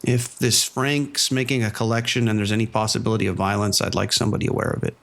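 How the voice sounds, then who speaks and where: An actor playing a patient male police officer, questioning an informant in a measured, skeptical way in an audio drama. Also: lowish voice, level tone, vocal fry, fairly bright tone.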